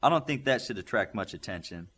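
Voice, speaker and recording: A man's voice: fairly low pitch, animated, fast talker, fairly bright tone. A man voicing a gracious, practical adult mother who hosts young superheroes in a storybook. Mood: reassuring.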